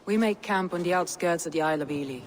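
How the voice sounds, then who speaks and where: A woman's voice: on the low side, fast, a little hiss or hum, natural voice. An actress playing a stern, grieving Viking woman leader with a solemn, commanding and formal delivery in a video game. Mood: resolute. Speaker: clan leader. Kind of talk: dramatic video game acting.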